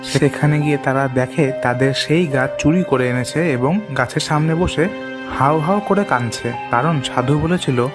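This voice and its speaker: A man's voice: fairly high pitch, moderate pace. Male cartoon story narrator over music.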